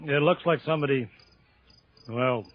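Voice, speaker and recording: A man's voice: medium pitch, moderate pace, close to an everyday voice. An actor playing an irritable, rattled policeman with a curt, exasperated manner in a radio horror drama. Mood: uneasy, guarded. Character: rattled, irritable male policeman on night duty. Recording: dull lo-fi old radio recording.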